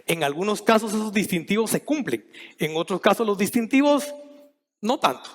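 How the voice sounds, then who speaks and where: A middle-aged man from Guatemala giving a conference talk, measured and thinking aloud with frequent restarts and fillers. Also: sits high, expressive. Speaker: conference speaker. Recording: long hall reverb.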